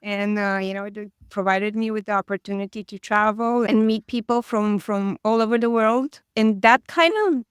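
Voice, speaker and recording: A woman's voice: mid-range voice, fairly even intonation, moderate pace, few pauses, clean tone, dry recording, clean studio sound. A woman, a guest chatting casually in an interview, hesitant with frequent fillers and restarts. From Romania.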